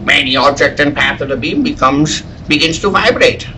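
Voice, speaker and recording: A man's voice: a little high, expressive, moderate pace, bright, forceful tone, a little hiss or hum, low-quality recording. A man playing an earnest, anxious scientist-inventor in 1940s radio serial style, theatrical and emphatic, at a live table read. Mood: proud, excited.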